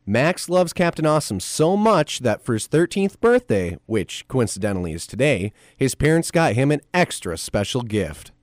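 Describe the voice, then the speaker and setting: Animated male storyteller, very lively intonation. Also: medium pitch, normal speed, few pauses, dry recording, studio quality. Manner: theatrical, playful, animated. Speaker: narrator. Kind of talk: scripted storybook narration for audio drama.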